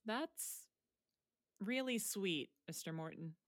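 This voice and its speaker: A woman's voice: medium pitch, expressive, slow pace, dry recording, studio quality. A woman playing a frank, wry teenage student in a naturalistic audio drama, understated and a little hesitant. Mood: warm, supportive.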